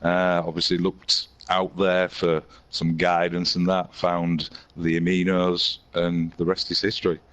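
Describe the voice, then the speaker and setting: A hoarse man from England with a Yorkshire accent, chatting casually as an interview guest and laughing easily. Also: deep voice, monotone, a little slow, few pauses, fairly bright tone. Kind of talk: casual chat.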